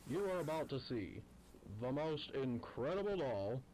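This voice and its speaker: A grand showman announcing an old toy commercial. The delivery is emphatic and lively in pitch, with crowd sound behind it. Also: a little slow, low-quality recording. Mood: grand, excited.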